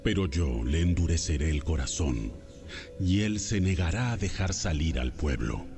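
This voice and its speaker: Deep, commanding voice of God. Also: male voice, lively intonation, normal speed, darkish tone, faint music behind. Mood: solemn, commanding. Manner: solemn, commanding declarations. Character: God, commanding, stern authoritative male deity. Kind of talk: dramatized Bible audio acting.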